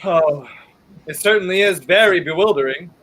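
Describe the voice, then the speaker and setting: A young man playing a determined, quick-thinking hero on the run in a 1930s-style radio play. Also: high voice, a bit bright, poor audio. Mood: puzzled, hesitant. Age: young adult.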